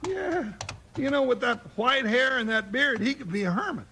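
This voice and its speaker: A male radio actor playing an excitable comic cowboy sidekick who complains in a folksy, blustery way. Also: extremely high pitch, normal speed, slightly rough, full character voice. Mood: grumbling, curious. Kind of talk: 1950s western radio acting. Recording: noisy vintage 1950s radio recording.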